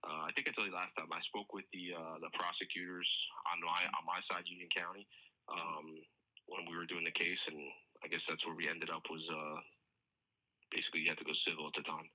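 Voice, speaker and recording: A man's voice: sits low, level tone, a little fast, bright, forceful tone. A man talking informally as a police detective on a recorded work phone call, explaining things in a hedging, conversational way.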